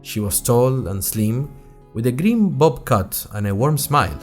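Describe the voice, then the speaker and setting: A man's voice: medium pitch, measured pace, faint music behind, close to an everyday voice. A man playing a curious young student journalist, narrating his adventures in a chatty, wry way as host of a fictional podcast. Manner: chatty, wry, expressive storytelling. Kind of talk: scripted first-person audio drama narration in chatty podcast form.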